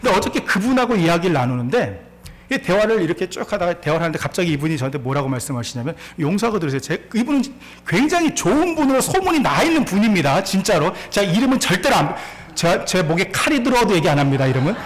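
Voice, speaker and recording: A man's voice: sits high, very animated, quick pace. A man in his forties preaching a sermon as pastor, telling a story and laughing along with it, with many questions put to the congregation. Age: 40s.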